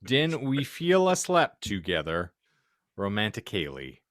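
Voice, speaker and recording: A man's voice: fairly low pitch, huge pitch swings, measured pace, fairly bright tone, dry recording, clean studio sound. A man giving a comic dramatic reading of badly written fiction as a podcast co-host, tripping over words and breaking into laughter. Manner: stumbles over misspelled text, breaks into laughter.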